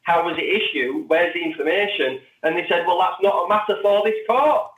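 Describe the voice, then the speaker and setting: A man with a fairly high voice comes through a tinny, phone-like connection with a lot of echo. He talks as an interview guest, circling back over his phrases and haltingly explaining legal cases. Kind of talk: animated discussion of legal cases. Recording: tinny phone-like line, distant and echoey.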